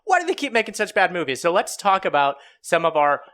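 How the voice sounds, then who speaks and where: Bright, higher-voiced man hosting a podcast. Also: sing-song, fast talker. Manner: joking, informal. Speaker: podcast host steering segments.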